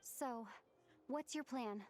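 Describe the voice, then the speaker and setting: An actress playing an earnest, determined young heroic traveler in a video game, speaking in a measured way. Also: female voice, high-pitched, a little mellow, a little hiss or hum.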